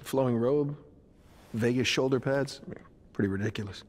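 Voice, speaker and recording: A man's voice: medium pitch, moderate pace, close to an everyday voice. A middle-aged American man playing a cocky, wisecracking genius inventor hero in a video game, flippant and sarcastic. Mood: encouraging. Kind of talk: game cutscene acting.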